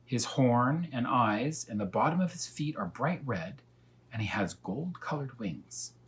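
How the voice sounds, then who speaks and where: Man reading as earnest storybook cat, animated delivery. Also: mid-range voice, measured pace, pitched above the performer's own voice, close to an everyday voice. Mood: fond, proud. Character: talkative old-fashioned female cat telling a tale. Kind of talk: children's storybook read-aloud.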